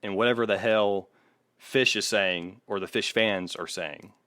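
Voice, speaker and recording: A man's voice: on the low side, a little slow, sharp tone, dry recording. A man hosting a podcast, talking music casually in an analytical, opinionated way with some swearing. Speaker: podcast host. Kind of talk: casual music critique chat.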